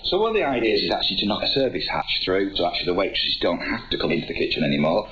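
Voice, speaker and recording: Deep yorkshire bloke pub landlord chatting fast, dull radio recording. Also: male voice, big pitch swings, fairly bright tone, slight background noise. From Yorkshire, England. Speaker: pub landlord being interviewed. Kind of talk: informal radio interview. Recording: muffled longwave radio capture.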